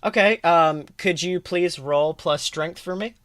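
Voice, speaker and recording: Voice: mid-range voice, measured pace, a bit bright, no room sound. A game master narrating a tabletop adventure in an informal, improvised way, swearing casually. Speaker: game master and podcast host.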